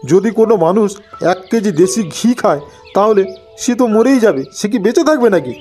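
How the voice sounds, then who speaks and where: A man's voice: high voice, animated, normal speed, a little mellow, faint music behind. An actor playing a blustering, sceptical man of authority in a cartoon, exasperated and scolding. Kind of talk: Bengali cartoon voice acting.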